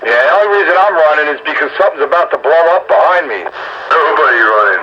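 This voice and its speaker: A man's voice: on the high side, lively intonation, fast. Muffled man joking over a noisy radio. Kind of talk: casual joking group banter over radio.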